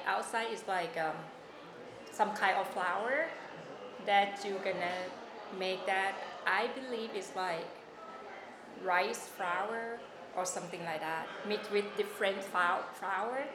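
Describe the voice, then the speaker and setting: A woman from Thailand, a Thai speaker talking in English, being interviewed. She speaks haltingly and fills her pauses a lot while she hunts for words. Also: medium pitch. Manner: halting, many fillers, searching for words. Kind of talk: casual oral history interview. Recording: echoey room with noise.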